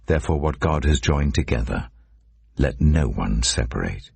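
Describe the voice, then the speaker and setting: A man reading scripture as a narrator in a solemn, measured, dramatic storytelling delivery. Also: a bass voice, animated, creaky, darkish tone, breathy edge, no echo. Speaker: Bible narrator.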